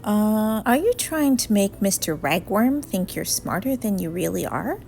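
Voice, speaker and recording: Woman voicing a cheeky, animated little sister. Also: medium pitch, measured pace, creaky, faint music behind. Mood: nosy. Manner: teasing, blunt and inquisitive.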